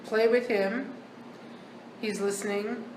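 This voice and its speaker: A woman on a ghost hunt speaks in halting single words, then chats about what she heard. She sounds set back from the microphone in a boomy, echoing cellar. There is loud background hiss beneath her voice. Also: steady tone. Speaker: paranormal investigator relaying words she hears. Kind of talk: casual ghost-hunt chatter. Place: old hotel wine cellar, basement. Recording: echoey cellar, distant mic, loud background noise.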